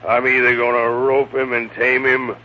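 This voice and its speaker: An actor playing a boastful, menacing outlaw horse thief in a radio western, with a gruff American Western drawl. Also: male voice, medium pitch, monotone, normal speed, natural voice. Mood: boastful menace. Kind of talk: old-time radio western acting. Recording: muffled vintage radio recording, faint hum.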